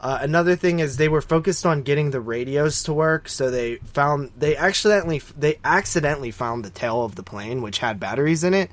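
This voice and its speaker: A man's voice: fairly high pitch, fairly quick, hardly any pauses. Hesitant man chatting on a podcast. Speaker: podcast co-host. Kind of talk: casual movie discussion, recounting notes.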